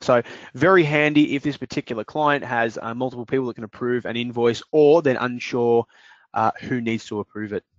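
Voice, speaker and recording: A man demonstrating invoice software step by step in a screen-recorded walkthrough, explaining calmly with the odd "uh" and "um". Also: medium pitch, brisk, dry recording. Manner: explanatory, steady, with fillers. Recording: dry, dull lo-fi voice-over.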